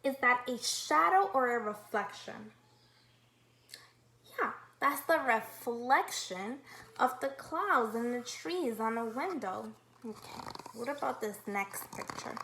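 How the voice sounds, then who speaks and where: Bright, high-voiced woman teaching young children. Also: expressive, slow, a little hiss or hum, low-quality recording. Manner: upbeat, instructive, asks guiding questions. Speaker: kindergarten teacher. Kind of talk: read-aloud lesson for young children.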